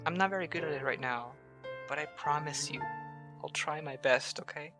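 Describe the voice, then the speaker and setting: A performer playing a troubled, withdrawn but tender young male lover in an audio drama, halting and soft-spoken, with music behind. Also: mid-range voice, lightly acted. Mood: tender, reassuring.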